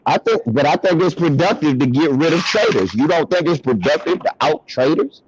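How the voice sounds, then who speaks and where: A man's voice: lively intonation, brisk, muffled recording, a crowd in the background. A man hosting a heated commentary debate over a call, emphatic and profane, cutting in to make his point. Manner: emphatic, profane, interrupts, pitch jumps when worked up. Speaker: host of commentary channel.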